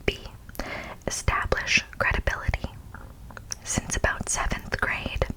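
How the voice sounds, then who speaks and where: An American woman whispers her way through an ASMR reading of a prepared speech. She takes it very slowly and deliberately. Now and then she turns to the listener with a playful aside, keeping the same hushed delivery. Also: poor audio. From United States. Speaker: ASMR host.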